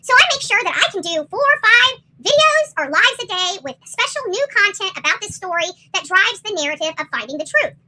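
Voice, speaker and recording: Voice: extremely high pitch, very animated, a little fast, hardly any pauses, bright, forceful tone, a little hiss or hum, poor audio. A livestream host on an impassioned rant, upset and confrontational, speaking emphatically with salty language and repeated phrases. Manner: salty, swearing. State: upset, agitated. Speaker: livestream host commenting on a missing-person case.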